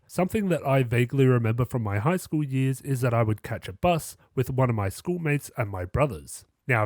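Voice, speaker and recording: A young man in his twenties narrates a scripted anime analysis in a steady, reflective way. A cold leaves his mid-range voice a touch nasal. The recording is dry and close, with no room sound. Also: medium pitch, no echo. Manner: measured, reflective explaining. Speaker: anime analysis video host. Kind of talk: scripted video-essay narration.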